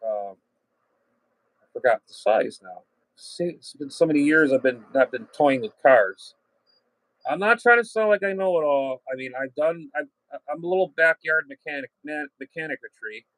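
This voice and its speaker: A man's voice: fairly high pitch, animated, normal speed, fairly bright tone, no echo, poor audio. A man chatting casually as a radio hobbyist, plain-spoken and salty, often trailing off and circling back. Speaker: amateur radio hobbyist and channel host. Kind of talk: casual rambling chat.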